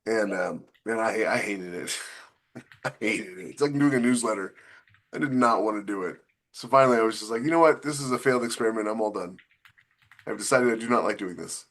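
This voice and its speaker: A man with a fairly low voice talks as a co-host on a casual panel podcast. He explains things at length in a loose, rambling way. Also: fairly quick, fairly bright tone. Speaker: podcast co-host, science fiction author.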